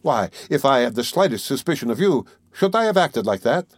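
A man's voice: medium pitch, big pitch swings, a little fast, no echo, studio quality, pitched above the performer's own voice. A man voicing a sly, falsely jovial middle-aged examining lawyer in an audiobook, teasing and ironical. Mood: needling. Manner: teasing, chuckling, wheedling, ironical. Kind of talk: dramatic audiobook character reading.

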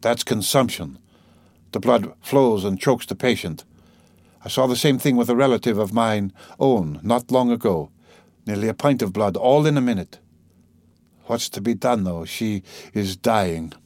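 A man playing a calm, sympathetic official of about fifty in an audiobook, measured and quietly authoritative. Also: lowish voice, dry recording, studio quality. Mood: grave, muttering. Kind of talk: dramatised audiobook character reading.

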